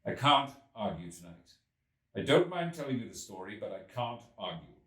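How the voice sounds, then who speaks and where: A man voicing a worn-out, earnest and insistent Victorian gentleman inventor in an audiobook, speaking emphatically. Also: lowish voice, big pitch swings, moderate pace. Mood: weary, insistent. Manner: emphatic, weary, declarative. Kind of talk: audiobook character voice. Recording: roomy echo, distant from mic.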